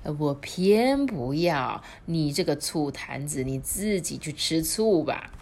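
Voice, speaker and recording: A woman's voice: low voice, animated, darkish tone, heavily acted. A woman voicing a sly, timid old god of dreams who drawls and teases. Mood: teasing. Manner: slow, drawling and teasing.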